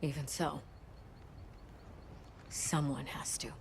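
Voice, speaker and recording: A woman's voice: low-pitched, little pitch movement, takes it slowly, gravelly, noisy background, full character voice. An actress playing a stern, resolute woman warrior commander in a game, speaking firmly and decisively. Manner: firm, decisive, clipped. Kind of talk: dramatic game cutscene acting. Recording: game score behind. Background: music.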